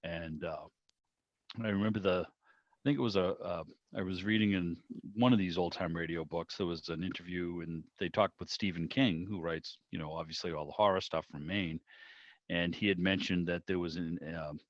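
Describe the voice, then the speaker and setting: Deep-voiced man reminiscing, dull call audio. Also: moderate pace, no echo. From Boston area, United States. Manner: chatty, peppered with "you know". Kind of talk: casual, rambling reminiscence. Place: online video meeting. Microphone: video call microphone.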